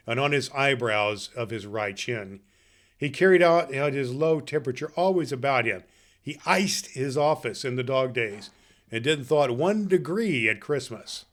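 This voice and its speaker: A man playing a jolly, grandfatherly old Santa Claus, hosting a podcast for children in a folksy, halting manner. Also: mid-range voice, natural voice. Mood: storytelling. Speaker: podcast host. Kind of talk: story reading and chat to children.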